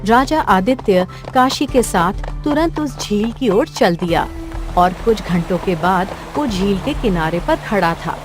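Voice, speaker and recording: A woman's voice: mid-range voice, lively intonation, a little slow. A woman reading scripted narration for a cartoon fairy tale as the storyteller. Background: music.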